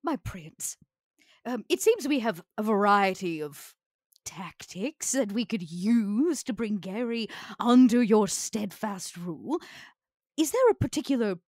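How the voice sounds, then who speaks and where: A woman's voice: mid-range voice, darkish tone, no echo, clean studio sound. A woman playing a poised, politely sardonic vampire in a tabletop game, speaking with careful formality. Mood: polite, measured.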